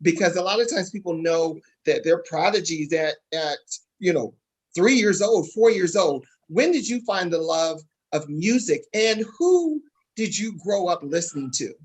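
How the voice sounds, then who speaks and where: Husky-voiced woman interviewer. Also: on the low side, animated, measured pace. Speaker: interviewer, host. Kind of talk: casual interview chat.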